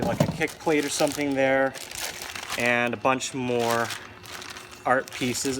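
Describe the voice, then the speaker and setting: A man giving casual, unscripted hands-on commentary, thinking aloud informally as he works. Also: medium pitch. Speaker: hobbyist video host. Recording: loud ambient noise around voice.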